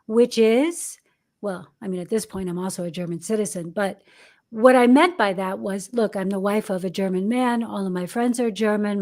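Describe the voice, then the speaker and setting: An American woman in her fifties, a career coach for actors, talking candidly and reflectively in a podcast interview, with frequent restarts and fillers. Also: mid-range voice. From United States. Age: 50s. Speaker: acting career coach, guest.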